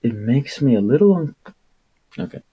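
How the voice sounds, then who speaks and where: A man livestreaming a book reading in a casual, rambling, irreverent way, with a husky voice and an exasperated, sweary manner. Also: mid-range voice, big pitch swings, moderate pace, mellow, highs cut off. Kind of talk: casual rambling livestream with read-aloud passages.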